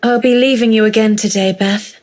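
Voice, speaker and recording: A woman playing a gentle, polite, affectionate young woman in a visual novel. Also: on the high side, steady tone, brisk, lightly acted. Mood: fond, playful.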